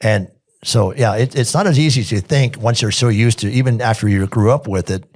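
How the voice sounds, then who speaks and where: A man's voice: medium pitch, fairly quick, darkish tone. A man reminiscing casually as a podcast guest, folksy and plainspoken.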